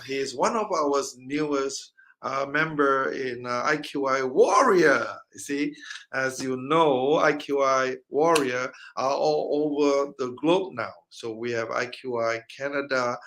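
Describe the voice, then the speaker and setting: Male host, gravelly voice, upbeat and stop-start, filler-heavy. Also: mid-range voice, a bit bright. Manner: halting, many fillers, upbeat. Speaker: interview host. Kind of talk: casual interview chat.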